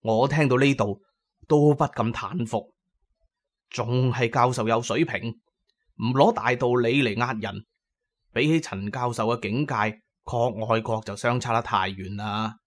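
A man's voice: mid-range voice, a little slow. A male audiobook narrator with a Cantonese accent reads a scripted adventure tale. He tells it in the first person, as a storyteller would.

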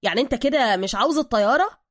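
A woman voicing an excitable, touchy but loyal streetwise man with a slangy Egyptian accent, in an audio story. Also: high voice, rapid-fire, dry recording, clean studio sound, pitched above the performer's own voice, close to an everyday voice. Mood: placating. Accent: Egyptian, colloquial Cairene. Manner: gushing, slangy, defensive.

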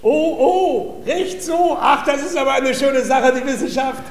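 An actor in a comic radio play, playing a naive, vain gentleman pupil who is childishly delighted and exclaims and repeats himself. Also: very high voice, unhurried, echoey room, a little hiss or hum. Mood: gleeful wonder. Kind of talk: theatrical classic-comedy radio acting.

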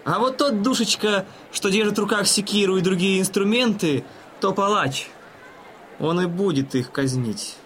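A condescending, blasé gentleman in a radio play, talking down to his companion. His voice is rather high and mannered, with slight ambient noise behind it. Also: normal speed. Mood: condescending, explaining. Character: smug, condescending gentleman spectator courting a young lady. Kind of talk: audio drama acting.

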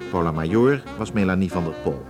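Male announcer for formal scripted radio credits, deep pitch, read over clearly audible music. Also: animated, fairly quick. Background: prominent music with brass and guitar.

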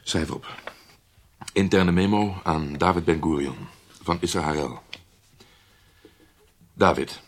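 An actor playing a grave, resolute Israeli secret service chief in a radio drama, measured and formal. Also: male voice, sits low. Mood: solemn, resolute. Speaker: intelligence chief dictating a memo.

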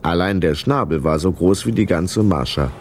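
A man's voice: sits low, animated, moderate pace, a little hiss or hum. A man narrating a children's radio play as a scripted storyteller.

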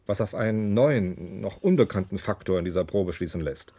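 A man's voice: low-pitched, expressive, moderate pace, warm tone, slightly rough, dry recording, exaggerated voice. A man playing an earnest, doggedly scientific pharmacologist in a German radio play, explaining carefully. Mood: flustered, insistent.

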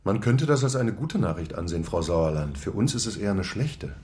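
An actor playing a cool German security official in a radio play, formal and lecturing. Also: male voice, sits low, animated, fairly quick, a little mellow. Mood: measured.

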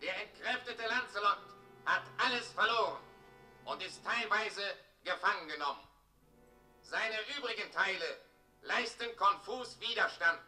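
An actor playing an officious town crier in a radio play, declaiming bureaucratic proclamations. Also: male voice, high voice, a little slow, bright, forceful tone, exaggerated voice. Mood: pompous, official. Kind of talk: German radio play acting. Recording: roomy distant radio play, music behind.

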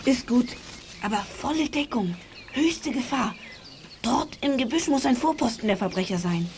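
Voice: mid-range voice, animated, moderate pace, slight background noise, lightly acted. A performer playing a bold, watchful child detective in a children's radio play, urgent, bossy and conspiratorial. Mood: tense, secretive. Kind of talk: 1980s German children's radio play acting.

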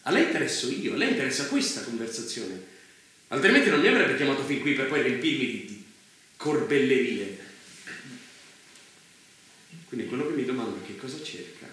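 Male, challenging, principled reporter with a bright, forceful voice; stage drama, heavy room echo. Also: medium pitch, very animated, a little fast, pauses often. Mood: indignant, confronting. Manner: insistent, challenging. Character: indignant, principled male journalist confronting a powerful leader. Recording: very echoey room, faint noise.

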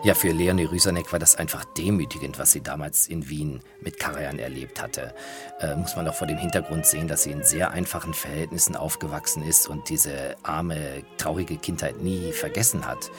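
A man's voice: very deep voice, moderate pace. A man telling anecdotes as the presenter of a radio feature. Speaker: presenter and music journalist. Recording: music playing behind. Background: music.

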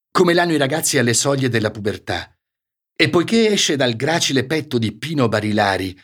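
A man with a northern Italian accent from Ferrara reading a literary story for radio, with measured, theatrical phrasing and deliberate pauses. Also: medium pitch, fairly bright tone. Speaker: actor reading a short story. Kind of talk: literary audiobook narration for radio.

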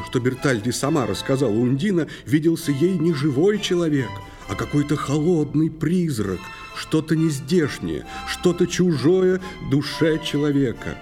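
A man's voice: a little high, lively intonation, moderate pace, hardly any pauses, a little mellow, soft background music. A man narrating a fairy tale in verse for a scripted audio play.

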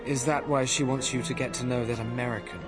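A man's voice: medium pitch, steady tone, quick pace, close to an everyday voice. An actor playing an earnest, impulsive young Englishman, well-spoken and emotional, in a radio drama. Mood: eager, tender. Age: young adult. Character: earnest, lovestruck, restless young Englishman, aspiring composer. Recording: music under the voice.

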